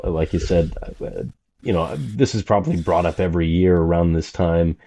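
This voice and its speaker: Deep, mellow male podcast guest. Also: moderate pace, vocal fry. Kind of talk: casual conversational commentary.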